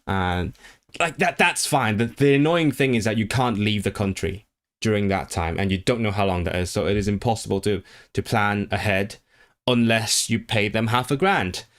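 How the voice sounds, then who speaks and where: A man's voice: lowish voice, normal speed. A man chatting casually on his own livestream, rambling with frequent restarts and fillers. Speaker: language streamer.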